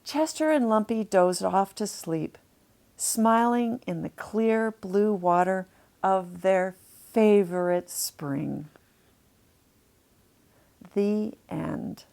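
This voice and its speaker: A woman narrating a children's storybook aloud, deliberately and expressively, lingering on key words. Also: mid-range voice, gravelly, a little mellow. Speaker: author reading a children's story.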